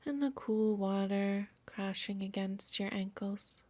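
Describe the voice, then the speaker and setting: Slow, soft-spoken woman guiding meditation. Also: mid-range voice, no echo. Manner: soft, soothing, measured delivery. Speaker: yoga nidra instructor. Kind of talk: guided meditation narration.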